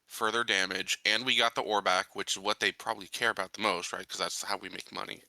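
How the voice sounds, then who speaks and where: A man's voice: lowish voice, steady tone, quick pace, sharp tone, no room sound, rough recording. A man chatting casually as a player in a tabletop game, working through a plan.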